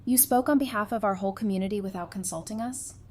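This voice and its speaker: Woman acting a candid, mellow-voiced councilwoman. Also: mid-range voice, fast talker, lightly acted. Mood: challenging. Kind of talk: full-cast audio drama acting.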